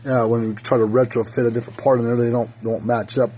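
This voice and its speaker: A hoarse man repairing equipment in his workshop, rambling and thinking aloud as he works, recorded on a phone. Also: mid-range voice, flat delivery, fast, darkish tone. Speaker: repair technician. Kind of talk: casual workshop repair talk. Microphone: phone camera.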